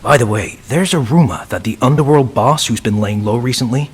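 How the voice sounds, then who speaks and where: A man playing a humble, earnest, self-doubting young soldier in a video game, with a husky, breathy voice. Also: medium pitch, fairly quick, natural voice. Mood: earnest, admiring. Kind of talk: video game cutscene acting.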